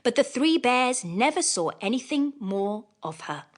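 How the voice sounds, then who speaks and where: A woman narrating a children's audiobook as scripted storytelling, in an expressive manner. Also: on the high side, a little slow.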